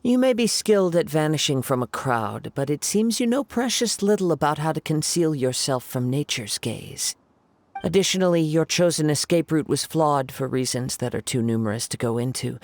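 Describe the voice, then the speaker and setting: A calm, poised young swordsman. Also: a little high, no room sound. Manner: calm, measured, formal. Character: calm young male wandering samurai, poised and perceptive. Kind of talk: video game voice acting. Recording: soft game music behind.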